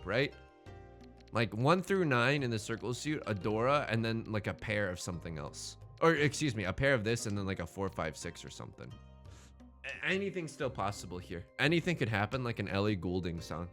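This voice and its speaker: A man's voice: medium pitch, fairly bright tone. A man gives casual running commentary as host of a let's play, thinking aloud as he goes. His mid-range voice swoops around animatedly, with joking, self-deprecating asides and playful drama. Music plays faintly behind him.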